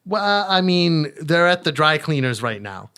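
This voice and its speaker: Flippant escaped-convict roleplay character , male player, slightly high, bright, halting and rambling. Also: big pitch swings, clean tone, no echo, studio quality, exaggerated voice. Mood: flippant, uneasy. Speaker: player in tabletop game. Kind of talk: improvised tabletop roleplay.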